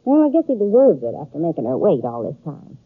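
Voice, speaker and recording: An American actress playing a shrewd, plain-spoken frontier matchmaker in a 1950s radio western, folksy, knowing and teasing. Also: female voice, low-pitched, huge pitch swings, fast, husky, no room sound, heavily acted. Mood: wry, teasing. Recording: dry, narrow-band 1950s radio recording.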